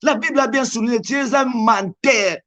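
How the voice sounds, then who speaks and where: High-pitched Congolese preacher man. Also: sharp tone, highs cut off. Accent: Congolese French. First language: Lingala. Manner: emphatic, preacherly, slow stressed scripture lines. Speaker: evangelist guest. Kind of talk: preaching on a TV talk show.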